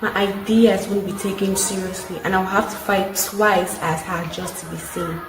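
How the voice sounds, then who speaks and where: A woman's voice: medium pitch, flat delivery, moderate pace. A young woman from Nigeria gives an earnest personal statement. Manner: earnest recitation. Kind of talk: short recorded personal statement. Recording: echoey room with background noise.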